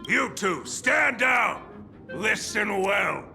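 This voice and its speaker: A brash gang underling from a video game, proud and honour-bound. His high voice has a creaky edge and lively swings as he blusters dramatically. Music plays loudly behind him. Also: very slow, heavily acted. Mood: defiant. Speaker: gang member.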